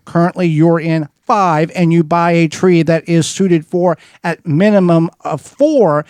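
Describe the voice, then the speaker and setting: A man's voice: high-pitched, a little mellow, dry recording, clean studio sound. A man hosting a gardening radio show, giving folksy, rambling advice with many restarts. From Wisconsin. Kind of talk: casual gardening advice chat. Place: studio.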